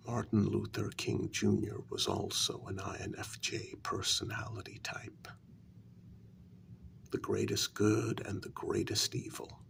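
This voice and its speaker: A man playing a wounded, quietly menacing human who confronts a vampire queen in a soft-spoken ASMR role-play, with a breathy, gravelly half-whisper and deliberate pauses. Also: lowish voice, exaggerated voice. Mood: brooding, pointed. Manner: slow, deliberate, pausing between phrases.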